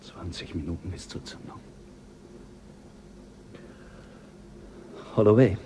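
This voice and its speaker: An actor playing a skeptical, quietly ruthless male astronaut in a radio play, speaking low and measured. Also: noisy background, lightly acted. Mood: cold.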